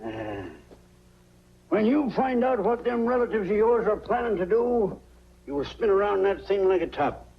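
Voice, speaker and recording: A folksy old American crony from a 1940s film. The voice is high, husky and cracked, swinging widely as he teases. It sounds like a dull vintage soundtrack. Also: very animated, moderate pace, full character voice. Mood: teasing, gossipy. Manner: folksy, teasing.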